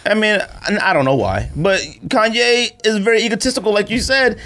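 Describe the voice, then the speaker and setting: A man's voice: high-pitched, expressive, fairly quick, fairly bright tone. A man co-hosting a podcast, debating casually and argumentatively, repeating himself for emphasis. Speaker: podcast co-host. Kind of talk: casual sneaker-culture debate.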